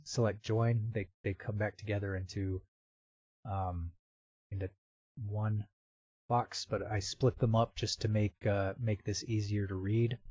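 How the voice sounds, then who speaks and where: A man presenting a casual software tutorial in a halting, step-by-step way, as if thinking aloud. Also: lowish voice, little pitch movement, husky, dry recording, dull audio. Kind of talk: casual screen-recorded tutorial narration. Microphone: computer microphone with real-time noise removal.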